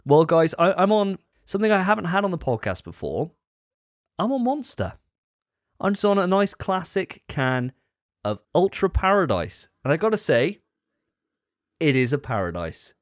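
A man's voice: fairly high pitch, very animated, normal speed, dry recording, clean studio sound. A man hosting a casual podcast, teasing his co-hosts in a jokey way. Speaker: podcast host.

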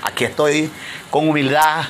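A high, bright-toned man preaching with a Honduran Spanish accent, surging into forceful proclamations and then sinking into hushed, earnest passages. A faint hiss of background noise sits under his voice. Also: steady tone, measured pace. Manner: impassioned preaching with big swings between loud declarations and low, hushed passages. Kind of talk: sermon.

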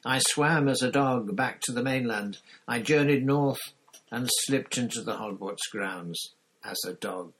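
A man's voice: mid-range voice, unhurried, fairly bright tone, a little hiss or hum, voice pushed up, close to an everyday voice, poor audio. A man voicing a gaunt, bitter escaped prisoner who speaks with harsh intensity in a storybook reading. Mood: intense.

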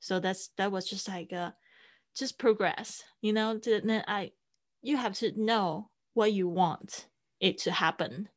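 A woman whose first language is Mandarin, speaking English as a podcast guest in an unhurried way, with many fillers and restarts. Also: mid-range voice. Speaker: guest, online teacher and podcaster. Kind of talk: casual interview conversation.

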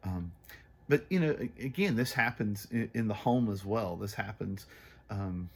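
A man's voice: lowish voice, expressive, moderate pace, vocal fry, breathy edge. A man in his thirties talking earnestly in a casual faith conversation, repeating words as he searches for them. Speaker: podcast co-host.

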